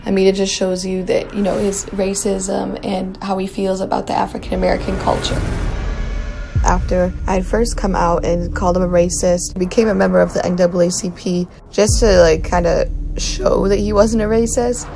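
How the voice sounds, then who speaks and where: A woman giving a testimony to camera in a flat manner, hedging with fillers. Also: mid-range voice, moderate pace, vocal fry, music in the background.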